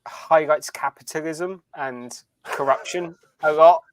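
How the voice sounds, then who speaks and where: Higher-pitched man in casual podcast chat. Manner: halting, repeats phrases as he thinks. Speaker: podcast co-host. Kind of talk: casual fan chat about a TV series.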